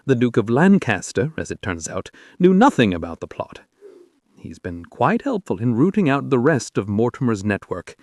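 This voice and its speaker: A man's voice: medium pitch, very animated, moderate pace, a little mellow, no echo, studio quality. A man voicing a fierce, anguished king in love in a dramatic story, impassioned and pleading. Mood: grim.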